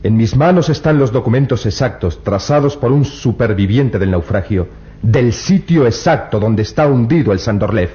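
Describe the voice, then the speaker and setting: An actor playing an earnest, persuasive young treasure hunter in a vintage radio drama. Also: male voice, mid-range voice, lively intonation, normal speed, mellow, soft background music, close to an everyday voice. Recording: dull lo-fi old radio recording.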